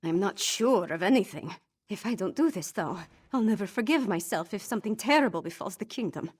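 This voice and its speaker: A woman's voice: mid-range voice, lively intonation, fairly quick, breathy edge. A young fantasy game princess who has little polish and speaks frankly. She sounds wry and self-doubting, yet earnest and resolute, in a mid-range voice. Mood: uneasy, determined. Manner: earnest, informal, self-deprecating. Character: young princess, wry, rough-edged, grieving and unsure but resolute. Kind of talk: video game voice acting.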